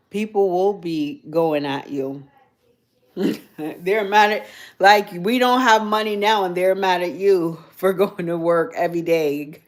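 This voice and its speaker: A woman from Jamaica with a Jamaican accent, chatting casually with viewers on her livestream, rambling and laughing often. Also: fairly low pitch. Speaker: livestream host. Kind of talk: casual live chat with viewers.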